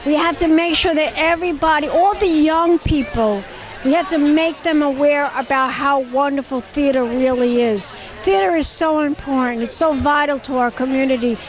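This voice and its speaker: A woman's voice: sits high, moderate pace, a faint crowd. A woman TV host with a Brooklyn accent, doing flamboyant red-carpet interviews outdoors at a gala and calling everyone darling.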